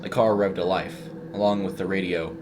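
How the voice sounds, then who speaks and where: A man's voice: sits low, steady tone, normal speed, noisy background. A man reading a scripted horror short story as its narrator.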